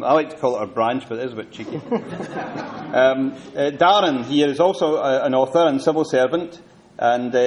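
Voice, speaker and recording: A man's voice: mid-range voice, darkish tone, a little hiss or hum. A man hosting a talk, introducing the guest speakers to the audience with a hesitant delivery. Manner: hesitant, many fillers and restarts. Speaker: event host introducing speakers.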